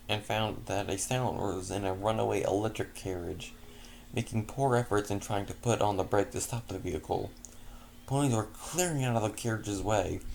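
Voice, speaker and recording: A man's voice: on the low side, vocal fry, a little mellow, slight background noise. A man reading a first-person fanfiction story aloud, often stumbling and correcting himself mid-sentence. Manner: frequent stumbles and self-corrections. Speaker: fanfiction reader.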